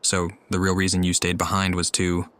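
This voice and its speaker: A woman playing a calm young traveler in a video game, with a wry, deadpan way of talking. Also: extremely low pitch, moderate pace. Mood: probing, wry. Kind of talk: video game character acting.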